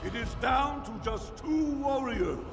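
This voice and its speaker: A ceremonial dwarf announcer proclaims in a fantasy video game. His delivery is slow and grand, raised in pitch. It carries through a reverberant hall with music behind. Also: animated, creaky, heavily acted. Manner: ceremonial, declamatory. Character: formal, booming dwarf arena master of ceremonies.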